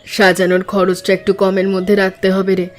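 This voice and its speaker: A woman voicing a practical, caring village mother in a cartoon story, speaking in an earnest, measured way. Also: medium pitch, completely flat delivery, faint music behind, lightly acted.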